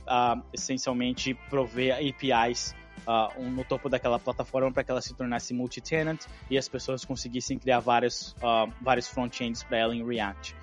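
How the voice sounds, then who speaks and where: Brazilian guy in his 30s chatting on a podcast, loose and slangy, soft music underneath. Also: mid-range voice. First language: Portuguese. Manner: relaxed storytelling with slang and fillers. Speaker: podcast guest, engineering executive. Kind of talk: casual interview chat.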